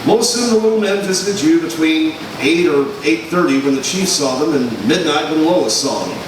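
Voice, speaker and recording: A man's voice: on the high side, expressive, normal speed, lightly acted. A man playing an earnest, reasonable newspaper reporter in an old-time radio drama, placating and explaining insistently. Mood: placating, firm. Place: live stage recreation in a large hall. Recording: echoey hall from far off, noisy.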